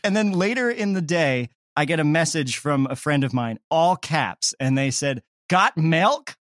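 A man's voice: fairly high pitch, lively intonation, unhurried, fairly bright tone, dry recording, studio quality. A man speaking casually and emphatically as a comedy creator being interviewed.

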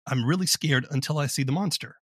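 A man's voice: fairly high pitch, fairly quick, husky, no room sound, clean studio sound. A man interviewing guests in a casual, conversational style. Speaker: interviewer, podcast host.

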